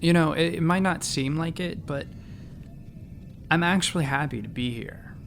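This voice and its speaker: Earnest young doctor, slightly creaky. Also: male voice, on the high side, animated, normal speed, a bit bright, slight background noise. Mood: earnest, admiring. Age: 30s. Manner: sincere, patient, slightly awkward. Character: earnest, eager thirty-four-year-old doctor, easily flustered. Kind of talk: sci-fi comedy audio drama acting.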